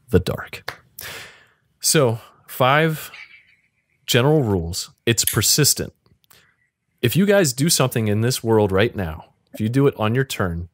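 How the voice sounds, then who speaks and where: A man's voice: on the low side, no room sound, studio quality. A man hosting a podcast as game master, mixing casual chat with dramatic interactive fiction narration.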